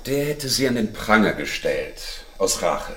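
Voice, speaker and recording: An actor playing a persistent, dryly sardonic police inspector in a German radio crime drama, with clipped, understated delivery. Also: male voice, fairly low pitch, sing-song, unhurried, fairly bright tone, far from the mic, a little hiss or hum. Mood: firm, confronting. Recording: roomy, echoing, slightly off the mic.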